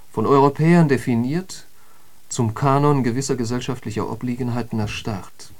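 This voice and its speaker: An actor as an eloquent intellectual holds forth in a literary radio play. His middle-pitched male voice is emphatic and declamatory, with the bite of a polemicist. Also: a little mellow, natural voice. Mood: scornful. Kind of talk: literary radio drama monologue.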